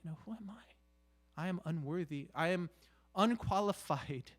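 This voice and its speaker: Male preacher, slightly high voice, very unhurried, conversational yet emphatic, halting delivery with rhetorical questions. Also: animated. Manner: halting, with repeated "you know" and rhetorical questions. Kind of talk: conversational sermon.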